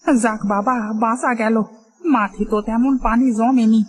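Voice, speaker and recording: A woman voicing an excitable, anxious but eager village farmer husband in a cartoon story, exclaiming and repeating words. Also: on the high side, normal speed, no echo, lightly acted. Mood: matter-of-fact. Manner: exclamatory, lamenting and calling out in repeats.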